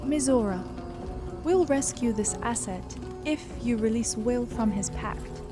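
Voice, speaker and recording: A woman's voice: on the high side, unhurried, over music, lightly acted. A woman voicing a composed, assured female elf adventurer in a fantasy game. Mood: cool bargaining.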